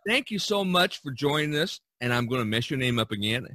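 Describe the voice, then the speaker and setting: A man hosting a casual podcast chat, talking in a halting way and repeating words. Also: mid-range voice, sing-song, sharp tone, no room sound, studio quality. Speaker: podcast host.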